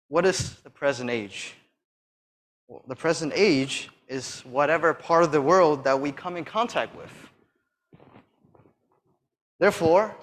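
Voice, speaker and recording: Soft-voiced guy preaching, bit high pitched, lots of ums and restarts, leans hard on key lines. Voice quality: soft-spoken. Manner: hesitant, with fillers and restarts. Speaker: church speaker. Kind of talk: sermon with Bible reading.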